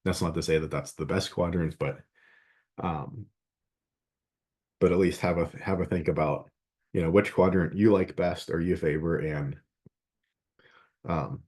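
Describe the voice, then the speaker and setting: Low-pitched male lecturer, easygoing and digressive, mildly stuffy from a cold. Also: steady tone, a little slow, long pauses, darkish tone. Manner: rambling, conversational, many fillers. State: slight head cold, a bit sniffly and scratchy. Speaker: math instructor. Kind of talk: classroom lecture.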